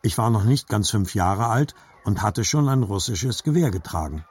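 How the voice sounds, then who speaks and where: An actor narrating as a grown man remembering his childhood, measured and reflective, in scripted literary style. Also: fairly low pitch, expressive, a little mellow, breathy edge.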